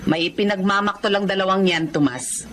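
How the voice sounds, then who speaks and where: A woman's voice: mid-range voice, fairly quick, fairly bright tone, a little hiss or hum, close to an everyday voice. An actress playing a practical, proud wife and mother in a radio drama. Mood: teasing.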